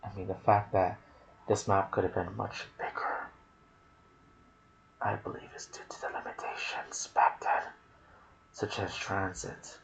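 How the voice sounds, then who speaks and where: A soft-spoken man hosting an ASMR video about game lore, with a hushed delivery that slips into whispers. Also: low-pitched, level tone, a little slow, gravelly, long pauses, poor audio. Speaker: video host and gaming content creator.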